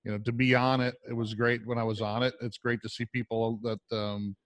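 Man, medium pitch, interviewer, casual chat, dry close sound. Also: little pitch movement, fairly quick, no room sound.

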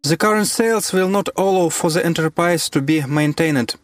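A man's voice: on the high side, normal speed, no room sound. A man from Ukraine, a fertilizer producer, speaking in a plain, matter-of-fact way. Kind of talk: interview statement.

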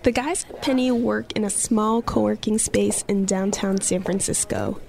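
A woman co-hosting a podcast, reading scripted narration in an even, conversational way. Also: medium pitch, moderate pace, creaky. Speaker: podcast co-host.

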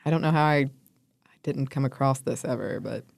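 A woman's voice: very deep voice, a little fast, gravelly. A woman co-hosting a podcast, chatting casually and laughing often. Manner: giggly, animated. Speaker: podcast co-host. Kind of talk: casual chat.

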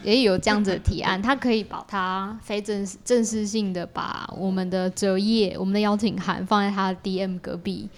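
A woman's voice: medium pitch, fairly even intonation, fairly quick, hardly any pauses. A woman with a Taiwanese Mandarin accent explains things in a chatty way as a guest in a casual podcast interview, often repeating her agreement. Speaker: podcast guest, exhibition project creator.

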